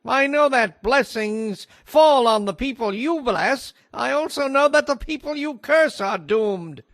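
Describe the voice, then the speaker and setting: A man's voice: extremely high pitch, animated, unhurried, a bit bright, dry recording, clean studio sound, full character voice. A man playing an anxious messenger of a threatened ancient king, pleading formally in a dramatized scripture reading. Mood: alarmed, pleading. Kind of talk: dramatized scripture audio acting.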